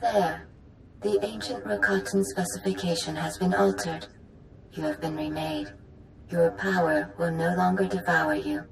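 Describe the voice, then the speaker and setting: Ancient machine mother, echoing and creaky. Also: expressive. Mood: serene, triumphant. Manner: measured, maternal, stately. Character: ancient godlike machine mother, tender, proud, quietly vengeful. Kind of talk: dramatic video game acting. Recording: roomy echo, faint rumbling noise.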